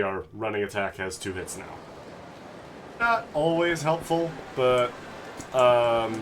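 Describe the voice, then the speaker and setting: Male game streamer, nasal, stuffy from a cold, low voice, explains as he plays, noisy background. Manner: relaxed, explanatory, rambling. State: recovering from a cold. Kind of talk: casual let's-play commentary.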